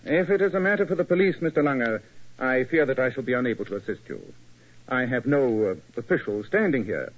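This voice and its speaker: An actor playing an urbane, self-assured English gentleman detective with an English accent, speaking precisely and formally in a 1940s radio drama. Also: medium pitch, animated, brisk, natural voice. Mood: brisk, formal. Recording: muffled vintage radio recording.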